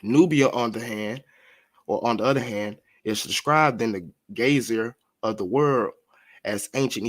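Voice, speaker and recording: A man with a mid-range voice leads a history lesson for an online audience. He reads passages aloud, then goes back over them in plain words, with frequent fillers and recaps. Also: mid-range voice. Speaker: teacher. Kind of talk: reading aloud and explaining history.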